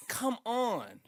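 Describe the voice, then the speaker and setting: A man's voice: very high voice, no room sound, studio quality. A man co-hosting a podcast in casual, argumentative banter, swearing freely and pressing his points. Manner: profane. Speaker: podcast co-host.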